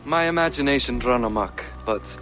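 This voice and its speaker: A man's voice: medium pitch, moderate pace, over music, close to an everyday voice. An actor playing an aging Viking king in a video game, jovial, self-deprecating and anxious, with old-fashioned folksy phrasing. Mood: fond, easygoing. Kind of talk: cinematic video game acting.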